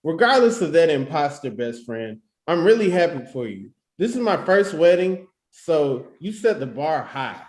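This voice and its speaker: A man playing an excitable, positive friend in his early thirties in a screenplay table read, slangy and enthusiastic. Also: fairly high pitch, normal speed, hardly any pauses, echoey room. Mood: heartfelt.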